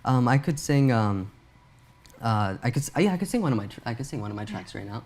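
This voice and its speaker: A man's voice: mid-range voice, animated, fast, a little mellow, a little hiss or hum. A young man born in Pakistan, an a cappella singer and producer, answering interview questions in a hesitant way with many fillers and restarts.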